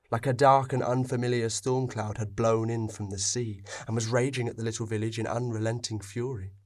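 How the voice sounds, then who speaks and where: A man narrating a scripted story expressively, with dramatic pauses. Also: fairly low pitch, creaky, a little mellow, breathy edge.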